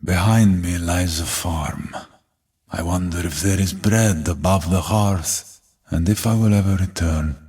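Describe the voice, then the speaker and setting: An actor playing a stoic, battle-weary warrior in a video game, speaking gravely and deliberately in measured, declamatory lines with a gravelly, husky voice. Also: male voice, sits low, a little mellow, full character voice. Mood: brooding.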